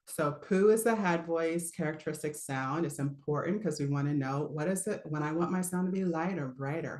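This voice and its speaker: A relaxed woman with a low voice coaches singers in a live online class. She chats easily, laughs often and breaks off to demonstrate sounds. The recording has a roomy echo around her. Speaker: voice teacher. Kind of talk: live online singing lesson.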